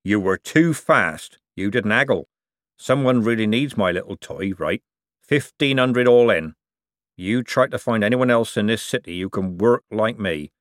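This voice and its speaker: A man's voice: lowish voice, expressive, measured pace, fairly bright tone, no room sound, clean studio sound. A man voicing a greedy, sly old male craftsman who growls in coarse working-class speech. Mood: gruff.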